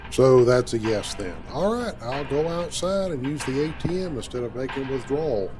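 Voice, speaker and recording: A man's voice: medium pitch, lively intonation, normal speed, over music. A man role-playing as a captain over a game, with a wry, conversational delivery. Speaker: gaming channel host role-playing as captain. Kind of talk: in-character role-play narration over game.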